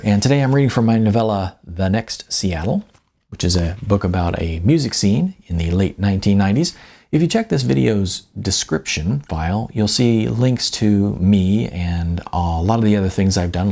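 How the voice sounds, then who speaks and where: A husky male voice with plenty of gravel reads an audiobook as its author. His intonation moves around a lot, rising and dropping for emphasis. The phrasing is deliberate and storylike. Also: on the low side, a little mellow. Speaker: author reading own novella. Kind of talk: audiobook narration, first-person storytelling.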